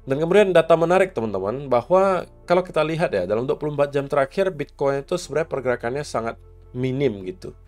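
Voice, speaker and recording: A man with a hoarse, coughing voice, casually walking viewers through upcoming market data and events, mixing in English market terms. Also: a little high, lively intonation, fast talker, a little mellow, soft background music. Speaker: crypto market commentator.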